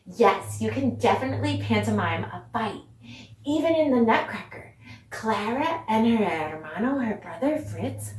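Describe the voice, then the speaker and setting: Woman, children's dance teacher, higher-pitched voice, encouraging, distant echoey recording. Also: animated, unhurried, darkish tone. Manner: encouraging, with praise and questions to pupils. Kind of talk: children's video lesson, speaking directly to pupils. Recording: roomy echo, distant mic, background noise.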